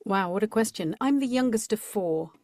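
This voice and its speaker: Woman in her 50s from england, bilingual with spanish as a first language, chatty thoughtful storyteller, mid pitch, dry close sound. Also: moderate pace, no room sound. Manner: thoughtful, curious, expressive storyteller. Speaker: guest, audiobook narrator. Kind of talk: reflective interview talk.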